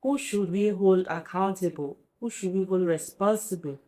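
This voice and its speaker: A Nigerian woman panelist asks pointed questions. Her voice is mellow and mid-pitched. She sounds roomy and set back from the mic. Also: normal speed.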